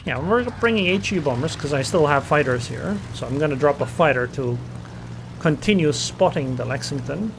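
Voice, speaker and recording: A man's voice: a little high, lively intonation, normal speed, creaky, background noise. A man gives casual live commentary over a naval battle game, thinking aloud about his next moves. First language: Estonian. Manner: thinks aloud, muttering plans and small reactions. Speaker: gameplay commentator. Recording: game sounds under the voice. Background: game engine and gunfire sounds.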